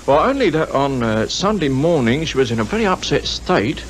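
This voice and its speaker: An actor playing a deferential hotel waiter with a British accent and colloquial phrasing, in a 1950s radio drama. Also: male voice, medium pitch, big pitch swings, moderate pace, lightly acted, poor audio. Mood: respectful, earnest. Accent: British English. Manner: respectful, colloquial. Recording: 1950s radio recording with faint noise.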